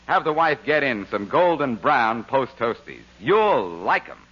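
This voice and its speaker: Bright, punchy vintage radio adman. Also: medium pitch, very animated, a little slow. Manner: folksy, emphatic salesman. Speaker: commercial announcer. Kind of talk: 1940s radio advertising pitch. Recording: dull vintage radio recording.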